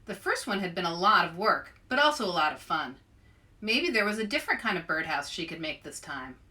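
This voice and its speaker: Bright-voiced woman reading to kids. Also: on the low side, brisk. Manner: animated, addresses young listeners directly with questions. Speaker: children's author hosting a read-aloud show. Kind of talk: children's read-aloud and chatty presenting.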